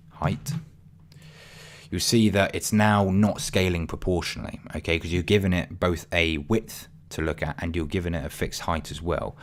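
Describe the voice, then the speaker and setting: A man, voice getting croaky, talking through a coding tutorial casually with many ums and apologies. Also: low-pitched, slight background noise. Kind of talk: screen-recorded coding tutorial.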